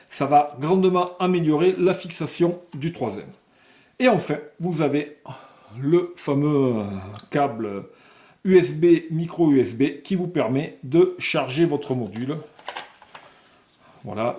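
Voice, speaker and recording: A man presenting a product unboxing, explaining technical details step by step and sometimes halting to find words. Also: mid-range voice, dark tone. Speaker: product presenter for a motorcycle accessories shop.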